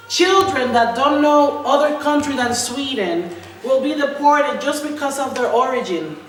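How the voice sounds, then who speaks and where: A woman reading a spoken-word political manifesto with emphatic, sarcastic delivery and repeated refrains. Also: high-pitched. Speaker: writer reading own spoken-word text. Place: large reverberant indoor art venue. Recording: echoey hall from far off, faint noise.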